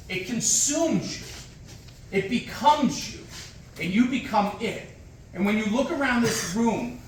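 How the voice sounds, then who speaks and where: Middle-aged man, high-pitched, punchy emphatic speech, booming hall echo, distant mic. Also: a bit bright, slight background noise. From United States. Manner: emphatic, repetitive, punchy short phrases. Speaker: guest speaker at a fire department event. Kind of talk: motivational speech. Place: large echoey hall with an audience.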